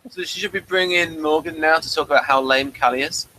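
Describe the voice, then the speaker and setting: A man's voice: a little high, fairly quick, a crowd in the background, low-quality recording. A man hosting a casual review discussion in a conversational style. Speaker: podcast host.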